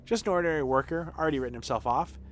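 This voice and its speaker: Man doing an eager, higher-pitched hospital helper. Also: racing, slight background noise, natural voice. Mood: earnest, reporting. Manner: polite, earnest, anxious to please. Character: eager, deferential small-town hospital helper. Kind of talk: video game dialogue read aloud.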